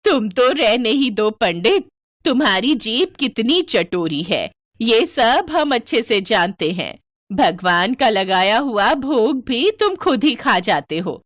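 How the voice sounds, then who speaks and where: A woman voicing a grumpy, stingy, devout old village woman who scolds and exclaims in an animated moral story. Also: a little high, no echo, studio quality, exaggerated voice. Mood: scolding.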